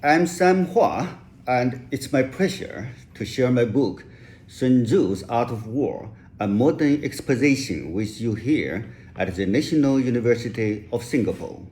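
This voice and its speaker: Slow, careful man. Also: medium pitch, lively intonation, darkish tone, slight background noise. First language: Chinese. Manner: slow, careful delivery with occasional restarts. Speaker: author giving a lecture. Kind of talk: rehearsed English lecture.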